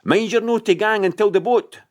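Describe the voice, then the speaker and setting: A man's voice: high-pitched, brisk, voice pushed up, close to an everyday voice. A man voicing an inquisitive, nagging mother in a storybook reading. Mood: calling out.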